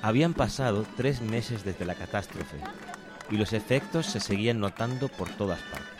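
A man reading a scripted documentary narration in a measured way. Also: fairly low pitch, lively intonation, over music.

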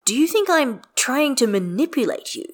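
A woman's voice: sits high, big pitch swings, moderate pace, pitched above the performer's own voice. A woman voicing an earnest, exasperated man in a story, speaking in formal, precise, emphatic sentences. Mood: earnest, hurt.

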